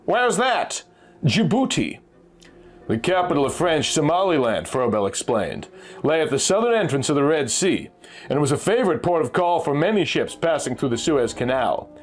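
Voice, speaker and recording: Lively male pulp story narrator. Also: fairly high pitch, brisk. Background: music.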